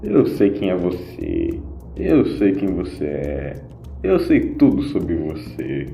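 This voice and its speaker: Man voicing a grandiose dark celestial villain, low and echoey. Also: huge pitch swings, slow, gravelly, exaggerated voice. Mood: menacing. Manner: dark, dramatic, declamatory. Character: the Wanderer, renegade celestial being, grandiose would-be utopian. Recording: boxy, echoey lo-fi with music.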